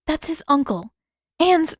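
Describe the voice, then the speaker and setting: A woman's voice: high voice, big pitch swings, slow, dry recording, clean studio sound, voice pushed up, heavily acted. A woman voicing an earnest, impatient teenage girl who gets flustered and questions urgently in an audiobook-style fan story. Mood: hasty, flustered.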